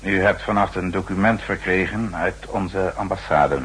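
A man acting a formal manservant and secret agent in a 1960s radio drama, measured, precise and politely menacing. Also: sits low, steady tone, fairly bright tone, husky, full character voice. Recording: old radio recording with faint hum.

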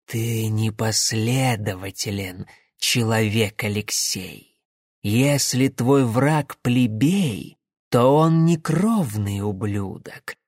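A man voicing a haughty, menacing inhuman warrior creature in an audiobook, with a soft, lulling, singsong and condescending delivery. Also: on the low side, dry recording, studio quality, voice pushed down. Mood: cold, condescending. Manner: slow, hypnotic, condescending.

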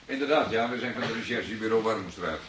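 An actor playing a senior police detective in a Dutch radio drama, deliberate, formal and dryly sardonic, with unhurried authority. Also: male voice, on the low side, close to an everyday voice. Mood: formal, polite. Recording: hissy old radio play recording.

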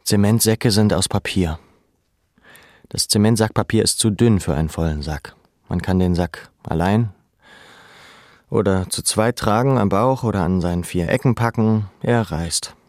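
A man reading scripted literary prose as a narrator, in a measured, deliberate, sombre manner. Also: fairly low pitch, a little mellow, no room sound, clean studio sound.

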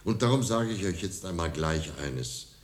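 A man's voice: deep voice, lively intonation, moderate pace. A man playing a brash, easygoing businessman in a radio drama, drawling and sardonic, in a roomy echo. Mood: sardonic, defiant. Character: brash, easygoing businessman who mocks others. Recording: roomy, echoing studio scene.

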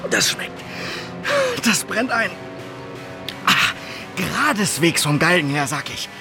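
Breathless, wildly swooping escaped robber. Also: male voice, on the high side, lively intonation, takes it slowly, over music. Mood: giddy relief. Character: exhausted, giddy robber escaped from the gallows. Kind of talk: radio play acting.

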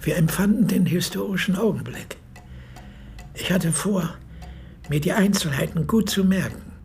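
A man's voice: high voice, expressive, creaky, darkish tone, slight background noise. An actor playing an 86-year-old former army medic who recounts his memories as a solemn, precise eyewitness in a radio play. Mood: earnest, precise. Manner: measured, precise, formal storytelling. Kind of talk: radio play monologue, reminiscence.

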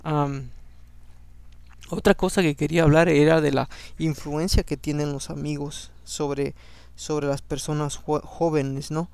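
A man with a slightly raised pitch and a gravelly edge under an otherwise smooth, resonant voice. His first language is Spanish. He reads scripture aloud and breaks off into chatty personal commentary, often tagging a questioning "no?" onto his sentences. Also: little pitch movement, a little slow, darkish tone, no room sound. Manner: conversational, keeps adding a questioning "no?"; frequent fillers and restarts. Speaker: Bible reading podcast host.

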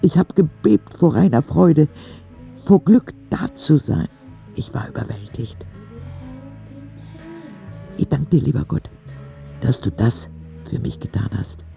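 A man's voice: medium pitch, vocal fry, muffled recording, soft background music, full character voice. A man playing a dying ten-year-old boy in a radio drama, reading candid, wondering letters to God in an intimate, reflective way. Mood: awed, grateful. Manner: slow, reflective, with expressive swings.

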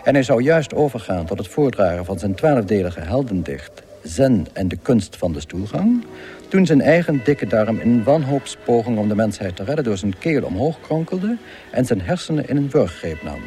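A man narrating a comic space radio drama as a wry storyteller, in a measured, deadpan manner. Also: medium pitch, soft background music, lightly acted.

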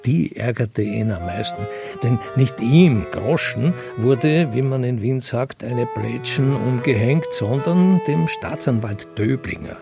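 A man reading scripted radio drama narration in a measured, literary and dry manner. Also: mid-range voice, expressive, vocal fry, over music.

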